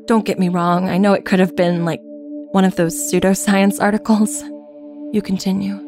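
A woman reading a literary first-person tale in a measured, lyrical, deliberate manner. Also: medium pitch, little pitch movement. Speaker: story narrator. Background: music.

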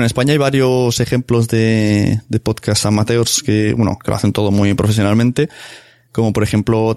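A man chats casually as a podcast interviewer. His voice is on the low side and stays very flat. The sound is dry, with no room around it. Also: dry recording.

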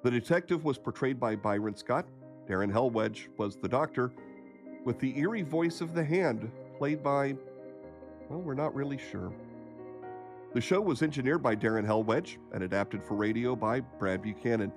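American man, medium pitch, radio announcer, scripted sign-off, faint music behind. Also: moderate pace. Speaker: narrator announcing radio credits.

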